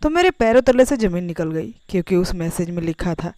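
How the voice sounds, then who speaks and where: A woman's voice: on the low side, very animated, a little fast, mellow, no room sound, studio quality. A woman reading a scripted, first-person emotional story as its narrator.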